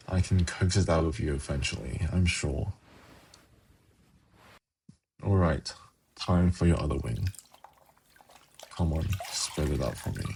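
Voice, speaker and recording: A man playing a suave, teasing, gently menacing demon prince in an intimate ASMR fantasy roleplay, with a slow, coaxing delivery. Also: slight background noise, exaggerated voice. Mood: teasing, musing, then musing. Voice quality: very low, gravelly, husky.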